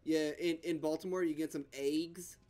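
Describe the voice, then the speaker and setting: A man's voice: fairly high pitch, steady tone, warm tone. A young man chatting on a game stream, repeating others' words back as jokes. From Pennsylvania. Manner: echoes others' words for jokes, slow and drawn out. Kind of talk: casual chat while playing a video game.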